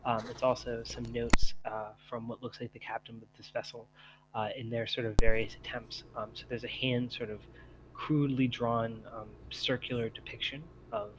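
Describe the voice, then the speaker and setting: A man's voice: mid-range voice, little pitch movement, normal speed, background noise. A man working as game master, narrating a tabletop roleplaying game in an improvised, conversational and hesitant way.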